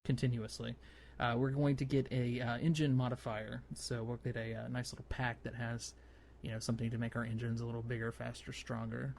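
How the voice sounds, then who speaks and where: A man's voice: medium pitch, little pitch movement, brisk, creaky, darkish tone. Man chatting on a noisy gaming podcast. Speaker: podcast host. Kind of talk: casual gaming podcast chat.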